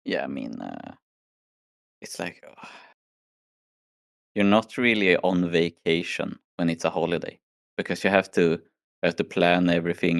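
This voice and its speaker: A hoarse man from Sweden chatting casually as a guest and grumbling about the holidays. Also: low voice, no echo, studio quality. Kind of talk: casual chat.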